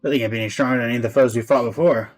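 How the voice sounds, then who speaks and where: Casting: male streamer, mid-pitched, casual livestream commentary, game music behind. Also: fairly even intonation, racing. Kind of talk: casual livestream commentary and reading dialogue along.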